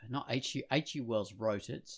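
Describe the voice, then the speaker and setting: A man chatting casually as a podcast host, rambling and halting as he goes, growing animated when enthused. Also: medium pitch, breathy edge. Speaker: podcast host and comic artist.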